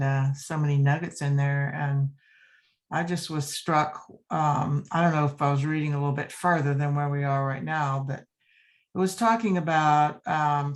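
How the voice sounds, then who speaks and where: A woman in an online study group, sharing her thoughts enthusiastically and warmly thanking the others over a video call. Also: deep voice. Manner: enthusiastic, heartfelt. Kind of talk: casual group discussion.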